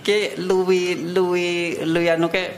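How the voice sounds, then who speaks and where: A man with a Tegal Javanese accent who tells stories in a relaxed way as a podcast guest, mixing regional dialect into his talk. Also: high-pitched, measured pace, reverberant, a loud crowd. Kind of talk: casual conversation.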